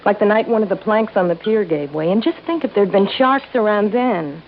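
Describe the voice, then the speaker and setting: Actress as an anxious young woman, creaky voice. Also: mid-range voice, lively intonation, natural voice. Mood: worried, earnest. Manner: halting, earnest, breaking off mid-thought. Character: anxious, hesitant young woman worried for her aunt. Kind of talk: old time radio drama acting. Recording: dull, faintly hissy old radio recording.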